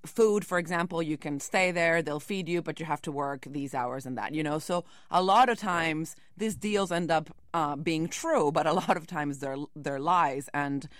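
Female reporter, interview guest. Lowish voice, dry close sound, explanatory, feeling her way through phrases. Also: normal speed, hardly any pauses, no room sound. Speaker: investigative reporter being interviewed.

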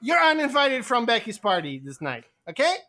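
A woman's voice: fairly high pitch, wildly swooping pitch, quick pace, fairly bright tone, pitched above the performer's own voice. A woman voicing a crude, hot-tempered teenage girl who hurls cursing insults. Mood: furious.

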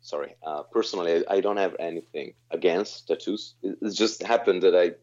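A man in his thirties with a very deep voice, answering interview questions in a halting, self-correcting way. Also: a little mellow. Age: 30s. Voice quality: very low, deep voice. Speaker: guest vocalist. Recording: slightly dull lo-fi sound.

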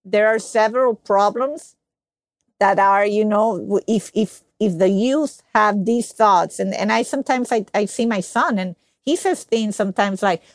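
A woman's voice: mid-range voice, a little slow, no room sound, studio quality. A woman from Venezuela with a Venezuelan Spanish accent, hosting a podcast and chatting in a conversational, reflective way. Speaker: podcast host. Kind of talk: casual interview chat.

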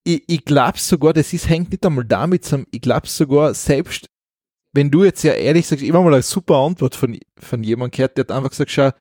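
An Austrian man co-hosts a podcast in a conversational, opinionated way. He often restarts and repeats words before getting to his point. His voice is of medium pitch and recorded dry, without room sound. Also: darkish tone, no room sound. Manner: repeats words, halting starts. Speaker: podcast co-host. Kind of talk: casual opinionated chat.

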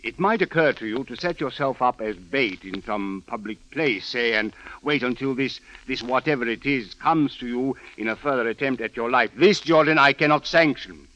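An actor playing an earnest, protective police official in an old radio drama, with formal, deliberate and emphatic phrasing. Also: male voice, mid-range voice, pushed and bright, no echo, natural voice. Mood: stern, worried. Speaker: supporting actor. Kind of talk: 1940s radio acting. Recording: dry, dull old radio recording.

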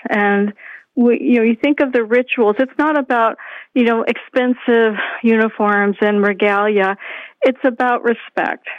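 Middle-aged female professor, phone-in guest, higher voice, reflective explainer, dry tinny line. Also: dry recording. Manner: thoughtful, explanatory, with some restarts. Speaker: professor and grief expert. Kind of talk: radio interview.

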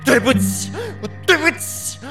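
A woman's voice: normal speed, music in the background, natural voice. A woman voicing a distraught rejected male lover, sobbing and pleading brokenly in an improvised dramatic scene. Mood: sobbing, anguished. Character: distraught rejected male lover who has killed his beloved. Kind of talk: improvised anime-style crying scene.

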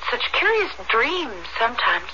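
Dreamy, high-voiced troubled young woman. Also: very animated, unhurried, bright, forceful tone, full character voice. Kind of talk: radio drama acting. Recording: dull, very noisy vintage radio recording.

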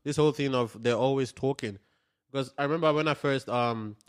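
A young man telling a personal story in casual chat. He speaks in an even, relaxed way at a mid pitch, with ums and restarts. The room is echoey. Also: fairly quick. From Australia.

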